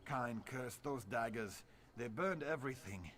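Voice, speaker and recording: A gruff old fighter from a fantasy video game. He grumbles bitterly, like a veteran who misses battle. Faint noise sits behind the voice. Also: medium pitch, expressive, measured pace, fairly bright tone. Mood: bitter, grumbling. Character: gruff, bitter old male warrior past his fighting days. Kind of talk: video game character acting.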